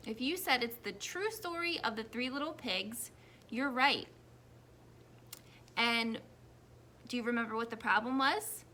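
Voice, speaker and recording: A woman preschool teacher leading an interactive read-aloud for young children, asking questions and pausing for answers. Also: on the high side, bright, forceful tone, slight background noise.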